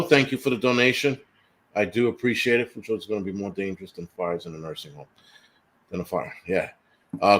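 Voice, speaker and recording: A man in his forties hosting a casual livestream chat, teasing and swearing freely while reading viewer comments aloud. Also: on the low side. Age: 40s. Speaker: livestream host.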